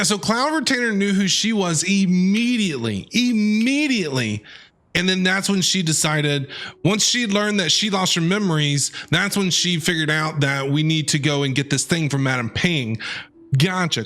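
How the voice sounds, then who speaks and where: A game streamer reacting live to a story, excitable and talking back to the characters. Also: medium pitch, moderate pace. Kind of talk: livestream reaction commentary.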